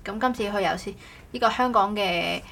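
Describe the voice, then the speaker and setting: A woman's voice: mid-range voice, moderate pace, a bit bright. A woman hosting a casual podcast chat in a playful, teasing way. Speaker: podcast host. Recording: clearly noisy background ambience.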